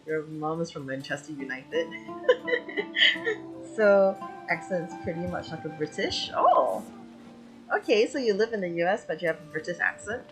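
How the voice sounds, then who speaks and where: A woman's voice: lowish voice, low-quality recording. A woman from Malaysia chatting casually with viewers as a live streamer, in an informal, giggly, self-deprecating way. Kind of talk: casual livestream chat with viewers.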